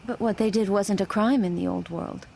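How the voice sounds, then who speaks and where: A woman playing a subdued, watchful handmaid in an audio drama, narrating her thoughts in a measured, hushed, introspective way. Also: mid-range voice, fairly even intonation, creaky, a little hiss or hum, lightly acted. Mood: guarded, pensive. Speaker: lead actor and first-person narrator. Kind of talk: audio drama, intimate literary narration and dialogue. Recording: music under the voice.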